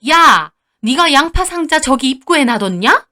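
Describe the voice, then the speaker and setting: A woman's voice: sits high, sing-song, a little slow, bright, forceful tone, pitched above the performer's own voice, exaggerated voice. A woman voicing a furious, contemptuous older mother-in-law in a dramatized story, scolding harshly. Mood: furious contempt. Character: furious, spiteful older mother-in-law berating her daughter-in-law.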